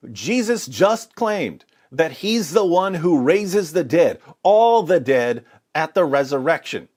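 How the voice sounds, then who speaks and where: A man's voice: fairly high pitch, big pitch swings, slow pace, darkish tone. A man giving polemical religious commentary with scripture readings, in an emphatic, sarcastic and theatrical way.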